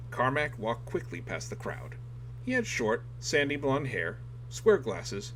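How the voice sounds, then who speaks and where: A man's voice: lowish voice, a bit bright, a little hiss or hum, poor audio. A man reading a nonfiction book aloud as dramatic scripted narration. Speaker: audiobook reader.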